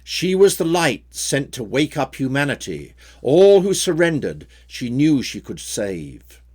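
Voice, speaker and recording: Expressive, unhurried man reciting poetry. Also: mid-range voice. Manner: measured, theatrical delivery with rhyming cadence. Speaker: poet reading own work.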